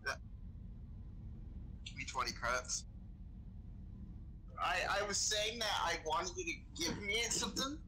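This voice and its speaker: Bright higher-pitched man in game role-play. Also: very animated, measured pace, pauses often, a little hiss or hum, low-quality recording. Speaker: role-player in an online game. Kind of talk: casual in-character role-play chat. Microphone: game voice chat microphone.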